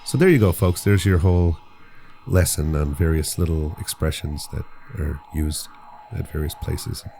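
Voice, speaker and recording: A man's voice: a bass voice, normal speed, creaky, warm tone, a little hiss or hum. A man co-hosting a casual podcast, joking and rambling as he explains island slang. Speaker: podcast co-host. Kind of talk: casual chat.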